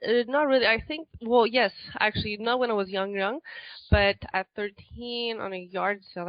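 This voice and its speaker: A woman's voice: lowish voice, dry recording. Interview guest: woman, Quebec French accent, chatty and giggly, lots of ums, dry slightly muffled recording. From Quebec, Canada. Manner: chatty, laughs often, many fillers. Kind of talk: casual interview chat.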